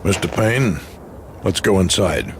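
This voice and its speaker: An actor playing a secretive old man of influence, speaking in a formal, measured, courteous manner. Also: sits low, sing-song. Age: older. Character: old, formal, secretive male power broker. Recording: noisy background ambience.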